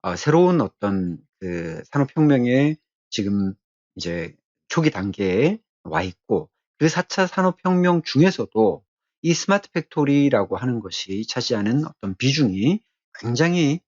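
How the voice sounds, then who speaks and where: A male technical instructor lectures in a mid-pitched, husky voice. He explains in a deliberate, halting way, pausing often between short phrases. The recording sounds slightly dull and muffled. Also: sing-song.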